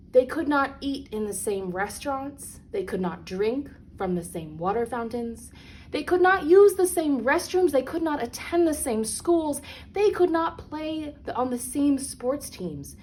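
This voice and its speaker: A woman with a fairly high voice reads a picture book aloud to children. She phrases things deliberately and leans on key words for young listeners. There is some noise in the recording behind her. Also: darkish tone. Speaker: read-aloud storyteller. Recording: clearly noisy background.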